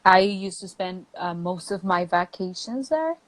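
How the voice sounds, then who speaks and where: A woman's voice: on the low side, animated, a little slow. Woman with a Mexican accent, chatting. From Mexico, near the US border. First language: Spanish. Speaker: English teacher, guest. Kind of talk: casual live chat.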